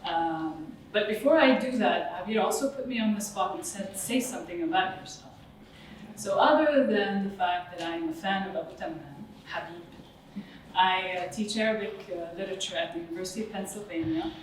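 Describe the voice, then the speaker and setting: A woman's voice: medium pitch, lively intonation, slight background noise. Lebanese-born woman lecturing in an echoey hall. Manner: measured and thoughtful, with some hesitations. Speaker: guest lecturer on Arabic literature. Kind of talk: academic lecture. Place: lecture room at a cultural center. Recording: distant mic in a very echoey hall.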